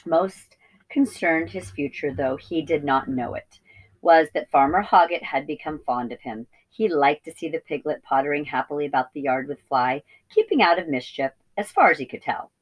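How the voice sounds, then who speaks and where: A woman reading a children's chapter book aloud in an expressive teacher's storytelling style. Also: deep voice, poor audio.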